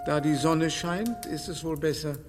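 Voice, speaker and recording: A fussy elderly father, fretful about his health, played by an actor in a radio drama. His voice sits a little high and his talk is hesitant, with music playing under it. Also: unhurried, lightly acted. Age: older. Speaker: Mister Woodhouse. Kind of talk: radio play acting.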